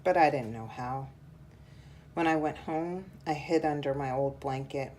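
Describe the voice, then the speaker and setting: A woman reading a children's picture book aloud in a measured, deliberate storytelling style. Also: sits low, a little mellow, a little hiss or hum.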